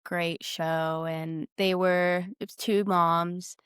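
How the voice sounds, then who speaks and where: A woman's voice: lowish voice, level tone, slow, a bit bright, clear, resonant tone, dry recording, studio quality. A young American woman chatting casually as a podcast co-host. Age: young adult.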